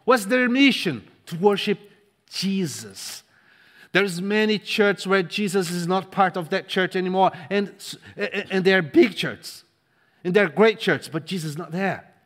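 Brief: male preacher, native Portuguese speaker, fervent, dynamic delivery, fairly high voice, long hall echo. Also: expressive, a little slow. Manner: impassioned, swings from loud to hushed, repeats words for emphasis. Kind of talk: sermon. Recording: long reverb of a large hall.

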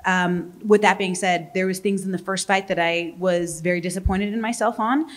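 A young adult woman speaks in a low register, giving frank, chatty answers as a fighter in a press interview. She talks freely and laughs easily between points. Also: steady tone, normal speed. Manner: candid and talkative, laughs easily. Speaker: professional fighter being interviewed.

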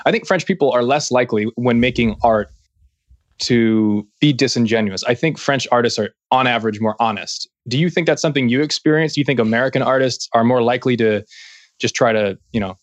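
A male podcast host talks in a loose, joking way, often starting a sentence over before he finishes it. His pitch is mid-range, and the sound is dry and close with no room echo. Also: brisk. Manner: chatty, joking, many restarts. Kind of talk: casual interview chat.

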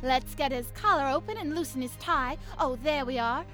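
A woman playing a brisk, bossy private detective in a 1950s radio drama style. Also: high voice, sharp tone, soft background music. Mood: urgent.

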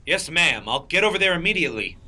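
Man as bright-voiced, bumbling rabbit detective. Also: fairly high pitch, sing-song, fast talker, full character voice. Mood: eager. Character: bumbling male rabbit detective, eager and defensive. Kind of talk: radio play acting.

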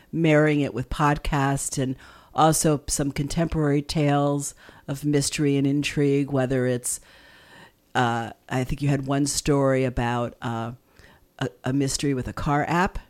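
A woman's voice: low-pitched, darkish tone. A woman co-hosting a radio show, chatting casually as she interviews guests.

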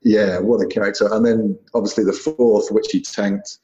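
A man's voice: lowish voice, fairly even intonation, moderate pace, mellow, echoey room, dull audio. A British man talking squash in a casual chat, rambling with many fillers and restarts. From Britain.

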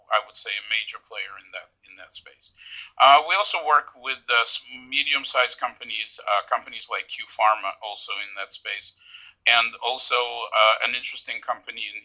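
A middle-aged man from Ukraine, educated in the United States, answering interview questions as a company executive in halting, hesitant phrases. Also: on the low side, pushed and bright, rough recording. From born in Odessa, Ukraine, educated in the United States. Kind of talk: interview answers about business.

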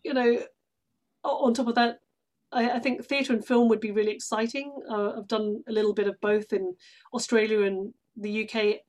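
A woman's voice: a little high, little pitch movement, moderate pace, a little mellow. A middle-aged woman from London with a British accent, talking effusively and emphatically as an interview guest. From London, England. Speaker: guest, stage actress. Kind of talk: conversational interview.

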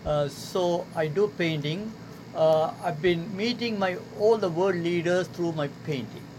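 A man in his sixties from Sri Lanka talks in earnest, halting English, his voice sitting a little high. He speaks as a guest on a radio show. Air conditioning hums clearly in the background of the studio recording. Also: noisy background. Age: 60s. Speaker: guest artist. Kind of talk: casual radio interview.

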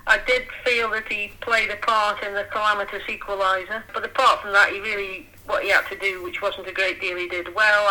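Woman co-host, sharp bright tone, dry and lively. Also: medium pitch, poor audio. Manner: lively and articulate, with dry humour. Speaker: podcast co-host. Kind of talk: casual fan podcast chat.

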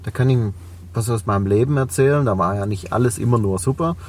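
A man's voice: medium pitch, quick pace, darkish tone, distant mic, a little hiss or hum. A man in his forties with a Swabian German accent, a business owner talking reflectively as an interview guest, with many fillers. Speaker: guest, cleaning company owner. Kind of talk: podcast interview.

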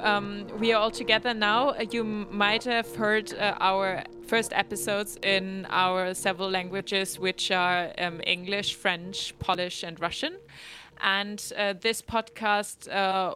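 A woman from Germany, hosting and moderating a podcast discussion in a measured way with some fillers. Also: mid-range voice, sharp tone, clean tone. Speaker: podcast host.

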